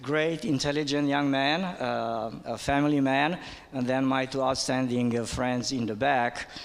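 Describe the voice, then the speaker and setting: A man from Romania delivers a formal address at a conference, pausing often on ums and uhs. His voice sits at an ordinary male pitch, and it rings out with a long reverb across a large hall. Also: fairly even intonation. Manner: halting, frequent ums and uhs. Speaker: panel speaker.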